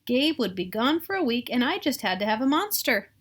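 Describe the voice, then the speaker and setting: Middle-aged American woman reading, higher-pitched voice. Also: big pitch swings, brisk, slightly rough. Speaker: storybook reader. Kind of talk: read-aloud picture book narration with casual asides. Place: small room at home.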